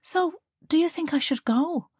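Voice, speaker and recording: A woman's voice: high-pitched, unhurried, a little mellow, no room sound, clean studio sound, voice pushed up. A woman reading the part of a nervous, earnest young student daughter. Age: young adult. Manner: earnest, hesitant.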